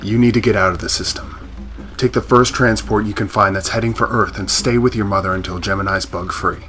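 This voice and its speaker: A man's voice: lowish voice, fairly even intonation, a little fast, fairly bright tone, faint music behind, close to an everyday voice. A man acting in a sci-fi audio drama as an anxious, protective husband and pilot, hesitant and earnest. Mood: urgent, pleading.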